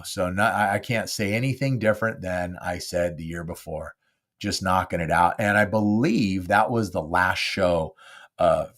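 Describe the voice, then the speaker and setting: A man hosting his own podcast, chatting casually and rambling with lots of fillers while nasal and congested from a cold. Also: sits low. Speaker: podcast host. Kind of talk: casual solo monologue. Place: home studio.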